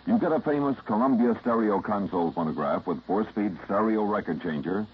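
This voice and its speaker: An American man reads a scripted radio commercial in a fairly deep voice. The vintage recording is muffled, with a little noise underneath. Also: expressive, brisk. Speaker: commercial announcer.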